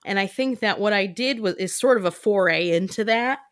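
A woman's voice: medium pitch, brisk, dry recording. Chatty, dry-voiced woman podcast host. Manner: chatty, self-deprecating, laughing often. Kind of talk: casual chat.

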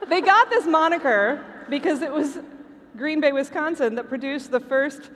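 A woman's voice: high voice, expressive, normal speed, a bit bright. An American woman from Michigan presenting scripted comic trivia to a live audience in a playful, emphatic way. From Michigan, United States. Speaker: podcast host presenting trivia. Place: convention stage before a live audience. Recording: reverberant hall stage recording, background noise.

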